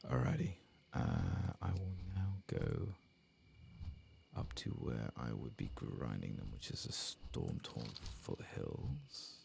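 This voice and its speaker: Very low, creaky soft-spoken ASMR gamer. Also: very animated, slow pace, a little hiss or hum. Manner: soft-spoken, murmuring, often dropping to a whisper. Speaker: gameplay streamer. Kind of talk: soft-spoken whispered ASMR game commentary.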